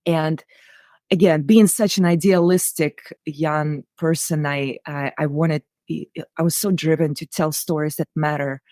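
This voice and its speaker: A woman's voice: sits low, fairly even intonation, measured pace, darkish tone, dry recording, clean studio sound. A woman from Russia with a Russian accent, speaking conversationally and earnestly as an interview guest telling personal stories. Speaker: interview guest, writer-director.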